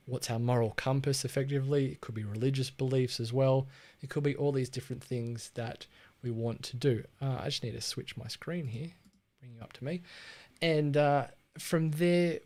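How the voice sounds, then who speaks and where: A man's voice: medium pitch, a little mellow. A man with an Australian accent hosting a casual livestream on branding, chatty and laid-back, with frequent ums and restarts. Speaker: livestream host teaching branding.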